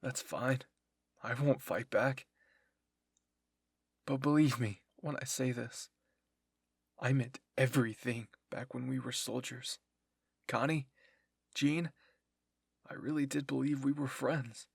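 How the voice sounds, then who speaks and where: An anxious young soldier weighed down by guilt, acted out by a man reading fan fiction. He pleads with emphasis in a gravelly, husky voice, recorded dry. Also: medium pitch, fairly even intonation, slow pace, pauses often, dry recording, heavily acted. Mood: anguished pleading.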